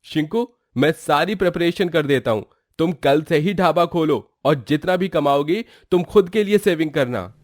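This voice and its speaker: Man playing earnest young man, lightly high-voiced. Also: normal speed, few pauses, dry recording, clean studio sound, lightly acted. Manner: earnest, mixes English words into his talk. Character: supportive young husband, earnest and encouraging. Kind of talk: moral story cartoon voice acting.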